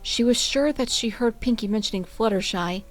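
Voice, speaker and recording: A woman reading a fan fiction story aloud as an expressive narrator. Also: a little high, moderate pace, soft background music.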